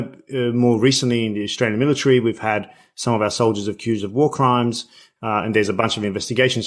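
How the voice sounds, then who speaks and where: A man from Bosnia hosting an interview, speaking hesitantly with frequent restarts and filler sounds. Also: mid-range voice. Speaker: podcast host. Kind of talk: conversational interview.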